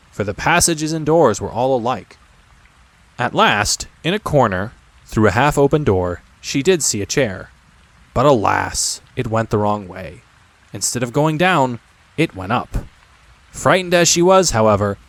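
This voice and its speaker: A man narrating a classic children's story from a script, expressive and speaking directly to the listener. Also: medium pitch, rough recording. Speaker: audiobook narrator.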